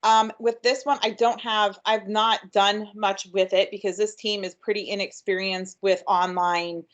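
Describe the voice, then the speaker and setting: A woman's voice: medium pitch, level tone, unhurried, lo-fi sound. A woman giving an upbeat, chatty webinar presentation with frequent ums. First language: English. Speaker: workshop presenter.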